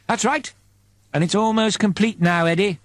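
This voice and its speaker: A man's voice: sits high, moderate pace, dry recording, studio quality, voice pushed up, natural voice. A man voicing a serene, knowing female angel in an audiobook, coaxing with patient, assured phrases.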